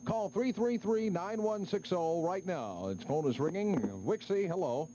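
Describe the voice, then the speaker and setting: A man's voice: sits high, lively intonation, a little slow. An American man working as a radio DJ, giving upbeat phone-in giveaway patter on pop radio. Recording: muffled 1972 AM radio aircheck, faint noise.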